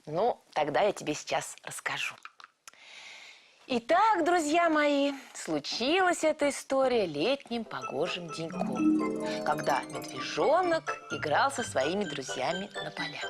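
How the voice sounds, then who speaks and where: A woman playing a friendly young storyteller in a children's cartoon, with an expressive, gentle, teacherly way of talking. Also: medium pitch, unhurried, music in the background. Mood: storytelling.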